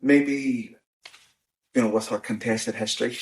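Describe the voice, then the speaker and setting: A Northern Irish man hosting a podcast, talking in a measured way with frequent restarts and "you know"s. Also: mid-range voice, fairly even intonation. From Northern Ireland. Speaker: podcast host. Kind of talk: casual discussion. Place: in-person recording on the Shankill, Belfast.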